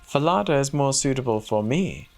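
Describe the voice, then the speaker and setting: A man's voice: medium pitch, animated, normal speed, soft background music, pitched above the performer's own voice, natural voice. Man acting a haughty, defiant young waiting maid. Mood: haughty, scornful. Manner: curt, refusing, commanding.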